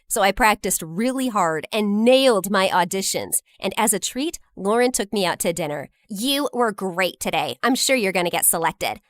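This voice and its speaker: A woman narrating a scripted first-person story in an expressive, dramatic teenage-girl style. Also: medium pitch, no room sound.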